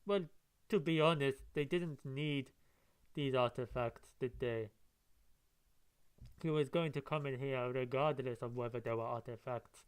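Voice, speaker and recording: Male reader-reviewer, smooth clear voice, casual and rambling, thinking out loud as he goes. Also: mid-range voice, measured pace, long pauses. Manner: musing, rambling asides. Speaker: comic book reader and reviewer. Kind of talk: casual read-along with running commentary.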